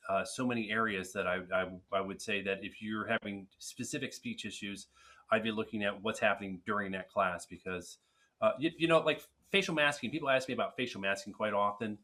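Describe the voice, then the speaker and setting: A man's voice: fairly low pitch, brisk, sharp tone. A man answering viewer questions on speech and swallowing, frank and direct, with frequent restarts. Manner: frank, advice-giving, with restarts. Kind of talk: casual webinar Q&A.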